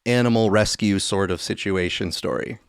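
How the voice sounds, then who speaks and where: Low-voiced man chatting, close and dry. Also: normal speed, creaky, clear, resonant tone, no room sound. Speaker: podcast host. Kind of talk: casual chat and commentary.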